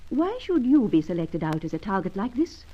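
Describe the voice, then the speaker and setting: An actress playing a haughty, cool Nordic shop dealer with formal, imperious diction in old-time radio drama style. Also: female voice, mid-range voice, sing-song, fairly quick, muffled recording, a little hiss or hum, close to an everyday voice. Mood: cool, intrigued.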